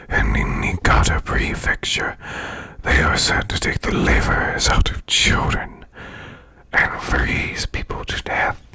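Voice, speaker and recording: A man voicing a demon boss who reads eerie folklore as bedtime ASMR in a slow, hushed, growling rasp with long pauses. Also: rumbling low, dry recording, exaggerated voice. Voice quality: growling, gravelly, breathy rasp.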